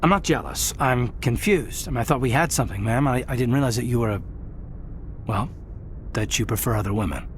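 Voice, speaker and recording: A man's voice: mid-range voice, animated, a little fast, slight background noise, close to an everyday voice. A man playing a sincere, hurt military lieutenant in a video game, speaking earnestly and hesitantly. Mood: confused, earnest. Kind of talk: video game dialogue acting.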